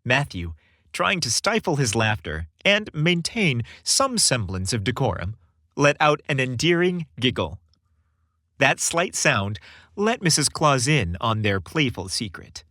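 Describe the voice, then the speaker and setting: Animated male storyteller. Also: medium pitch, measured pace, no echo, studio quality. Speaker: podcast story narrator. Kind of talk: dramatic scripted storybook narration.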